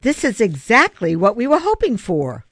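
A woman's voice: lowish voice, big pitch swings, moderate pace, dry recording, studio quality. A woman voicing an earnest, scheming schoolboy ringleader who argues insistently in an audiobook story. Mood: insistent, placating. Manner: pleading, insistent.

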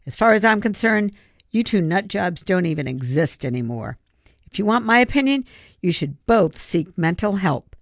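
Woman acting as fierce schoolgirl, indignant animal lover, emphatic scolding, lively pitch swings, storybook character, dry close recording. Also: normal speed, no room sound. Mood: cold, bitter.

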